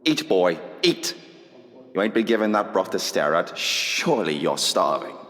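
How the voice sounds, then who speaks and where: Menacing workhouse master, echoey hall. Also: male voice, low-pitched, very animated, moderate pace, a bit bright. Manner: threatening, colloquial. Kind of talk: radio drama acting.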